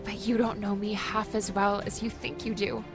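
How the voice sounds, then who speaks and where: A man voicing a devoted, tearful young woman who speaks softly and from the heart. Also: high voice, flat delivery, moderate pace, music in the background, voice pushed up, close to an everyday voice. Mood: tender.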